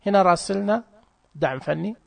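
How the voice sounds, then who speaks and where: A man walks through a phone app step by step in a casual, chatty way, pausing and restarting as he explains. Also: high-pitched, creaky. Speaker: app tutorial presenter. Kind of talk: casual screen-recorded app walkthrough.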